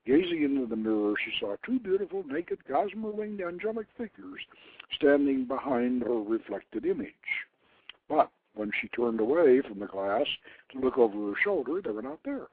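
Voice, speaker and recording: An older man hosting a radio show, reading aloud and commenting informally in a deliberate storyteller's manner. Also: medium pitch, big pitch swings, a little hiss or hum. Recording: narrow phone-like line, dry.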